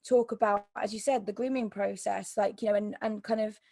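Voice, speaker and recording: A woman with a croaky voice telling her personal story as an interview guest, hesitant, with many ums and restarts. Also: medium pitch, fairly even intonation, brisk, dark tone, dry recording, studio quality. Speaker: interview guest, mental health YouTuber.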